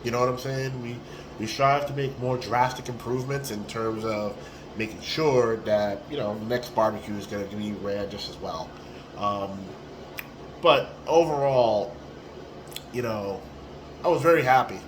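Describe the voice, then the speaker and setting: A man in his forties with a hoarse, raspy voice, talking casually into a phone held at arm's length in a small room, with plenty of ums and restarts. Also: medium pitch, measured pace, background noise. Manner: relaxed and rambling, with many ums and restarts. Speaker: tournament organizer. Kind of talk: casual selfie video recap.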